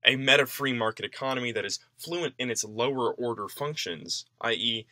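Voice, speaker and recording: A man's voice: mid-range voice, normal speed, pushed and bright, no echo. A man reading a written essay aloud in a formal, declarative way, as scripted narration. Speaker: reader of an essay.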